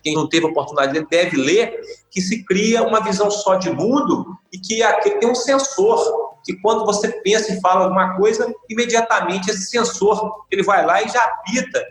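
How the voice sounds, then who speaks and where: A man's voice: high voice, moderate pace. A middle-aged man from Salvador, a lawyer talking expansively as a podcast guest, with a jokey, talkative manner. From Salvador, Brazil. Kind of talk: casual interview talk. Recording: roomy echo.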